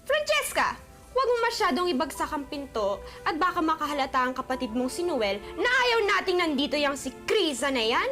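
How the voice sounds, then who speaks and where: Woman acting scheming rich mother, high voice, haughty, Filipino accent, radio melodrama, faint music behind. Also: big pitch swings, a little fast. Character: scheming, haughty wealthy mother, falsely sweet matriarch. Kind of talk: amateur radio drama acting.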